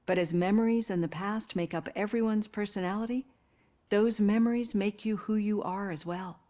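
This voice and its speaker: A woman's voice: medium pitch, dark tone, natural voice. Woman playing a composed, caring psychologist. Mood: earnest, reassuring. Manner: measured, earnest, articulate. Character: composed, caring female psychologist evaluating a cyborg officer. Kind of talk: acted video game dialogue.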